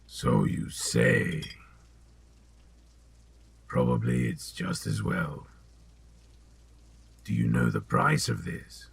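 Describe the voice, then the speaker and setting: A man playing a sly, sinister old potion seller in an audio drama, with a gravelly, husky voice and a deliberate, insinuating manner. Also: fairly low pitch, sing-song, full character voice. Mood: sly, menacing.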